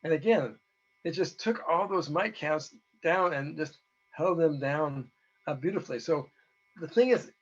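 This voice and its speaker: A man with a hoarse voice gives an informal, halting technical presentation, explaining data as a researcher. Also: fairly high pitch. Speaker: presenter, researcher giving a talk to beekeepers. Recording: dull, lo-fi audio.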